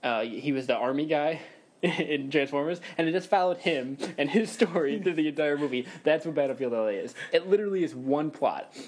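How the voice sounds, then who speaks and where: A man's voice: fairly high pitch, a little fast, a little hiss or hum. A young man co-hosting a casual podcast, joking and rambling and laughing often as he talks. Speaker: podcast co-host.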